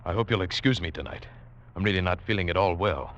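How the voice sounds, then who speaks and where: Deep-voiced, grim hunted hero. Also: male voice, a bit bright, lo-fi sound. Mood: wary, defiant. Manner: tense, deliberate dramatic delivery. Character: grim hunted man narrating his own suspense tale. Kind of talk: 1940s radio acting, first-person narration. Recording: vintage radio drama with music behind.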